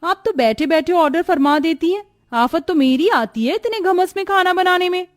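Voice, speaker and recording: A woman voicing a haughty, spoiled young daughter-in-law in a cartoon story, shrill, snapping and taunting. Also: animated, moderate pace. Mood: defiant.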